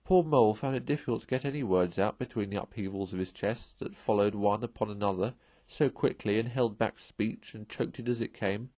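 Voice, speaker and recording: A man reading a storybook aloud as narrator, in an expressive storytelling style. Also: lowish voice, fairly quick, few pauses, no echo. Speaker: audiobook reader.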